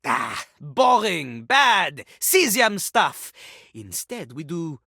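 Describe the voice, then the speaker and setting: A woman's voice: low voice, sing-song, extremely slow, sharp tone, slightly rough, no echo, clean studio sound, full character voice. A woman voicing a vain, scornful French-accented toilet who fancies himself a rap master, in a theatrical video game performance with a pompous, dismissive manner. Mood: haughty lecturing. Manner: pompous, speaks of himself in third person, dismissive.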